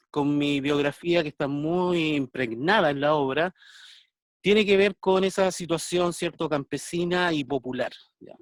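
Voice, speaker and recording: A man's voice: mid-range voice, no room sound. A man in his fifties with a Chilean accent, speaking as a guest artist on a video call in a thoughtful, hesitant way with many fillers. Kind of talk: conversational interview, reflective.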